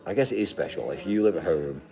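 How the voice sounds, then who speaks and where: A man's voice: sits low, lively intonation, fast, warm tone, faint music behind. A man live streaming from a hotel, chatting in a rambling way, repeating himself and swearing casually. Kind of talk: unscripted live stream chat. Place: busy hotel restaurant. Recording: crowd chatter behind.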